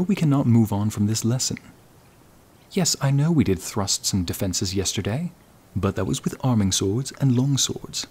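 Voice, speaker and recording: A man's voice: medium pitch, husky. A man acting in an audio roleplay as an uptight, snooty combat instructor who banters bossily and flirtatiously with a royal pupil. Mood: patient, lecturing. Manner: mildly bossy, bantering, flirtatious.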